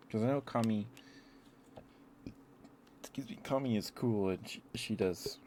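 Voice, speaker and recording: A man with a very low voice chats casually on an ASMR livestream, with clear noise behind him. He meanders and hesitates as he talks to his viewers, often sounding apologetic. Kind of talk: rambling ASMR stream, casual chat with viewers.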